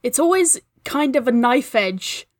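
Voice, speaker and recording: A woman's voice: high voice, animated, a little slow. A woman guest-hosting a film podcast in casual, conversational talk.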